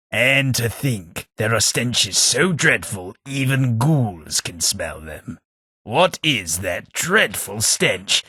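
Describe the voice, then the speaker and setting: A husky, prim ghoul gentleman. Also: lowish voice, no echo, full character voice. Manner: dry, sardonic, prim understatement. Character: posh ghoul gentleman companion, dry and fussy. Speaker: game companion. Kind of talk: video game voice lines.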